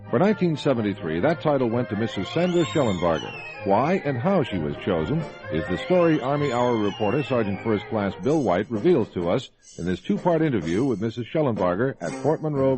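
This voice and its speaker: American radio announcer with lively, swinging intonation. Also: male voice, mid-range voice, a little fast. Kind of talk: scripted broadcast narration. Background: music.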